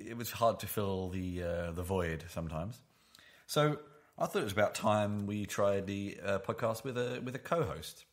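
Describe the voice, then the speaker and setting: A man with a very deep voice hosts a casual podcast from his apartment. He rambles, restarts his sentences and drops in dry asides, all on a single shared microphone. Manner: rambling, self-correcting, dry humour. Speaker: podcast host. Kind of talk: casual chat. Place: home apartment.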